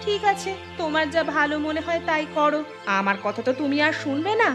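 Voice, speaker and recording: A woman voicing a suspicious, sharp-tongued village wife in a cartoon, with a scolding, sarcastic manner. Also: high-pitched, very animated, a little fast, soft background music. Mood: resigned. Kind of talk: Bengali cartoon voice acting.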